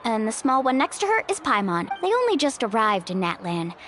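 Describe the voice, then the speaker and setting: A woman's voice: on the high side, sing-song, fairly quick, fairly bright tone, soft background music. An actress playing a sunny, easygoing young woman, a breezy and friendly guide in a video game. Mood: cheerful. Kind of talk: video game character acting.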